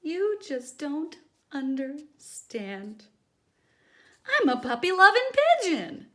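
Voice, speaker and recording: A woman's voice: high-pitched, big pitch swings, slow, bright, forceful tone, full character voice. A woman voicing a demanding, whiny pigeon given to theatrical outbursts in a children's storybook. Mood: indignant, pouting. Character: demanding, dramatic, tantrum-prone cartoon pigeon. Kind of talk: children's storybook read-aloud.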